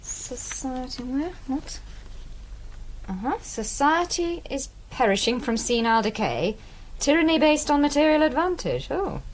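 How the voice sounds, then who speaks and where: A woman's voice: high-pitched, sing-song, measured pace, slight background noise, rough recording. A woman playing a curious, chattering farmer's wife in a period radio drama. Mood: musing. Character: curious, chattering farmer's wife seeking her husband's attention.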